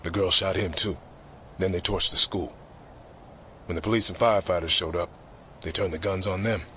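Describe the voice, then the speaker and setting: A man's voice: low-pitched, fairly even intonation, normal speed, sharp tone, a little hiss or hum. A man playing a grim, weary government fixer who tells his story in terse, hard-boiled narration for an audio drama.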